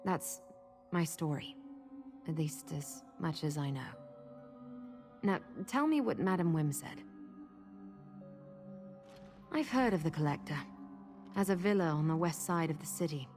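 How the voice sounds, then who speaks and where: A woman's voice: low voice, lively intonation, normal speed, pauses often, darkish tone, soft background music. An actress playing a troubled, reclusive woman with lost memories in a fantasy video game, speaking in a guarded, weary, confiding manner. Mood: guarded, matter-of-fact. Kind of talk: video game character dialogue.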